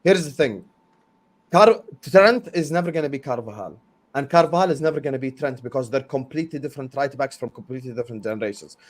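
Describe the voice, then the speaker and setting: A man hosting a football stream, arguing his points emphatically and repeating words as he gets excited. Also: medium pitch, fast. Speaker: host of a football transfer stream. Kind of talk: casual football debate on a livestream.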